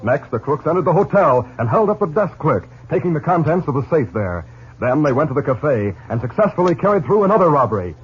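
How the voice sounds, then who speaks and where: An actor narrating a 1950s radio western in a dramatic, formal announcer's delivery. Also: male voice, on the high side, sing-song, a little fast, slight background noise. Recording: dull 1950s radio recording.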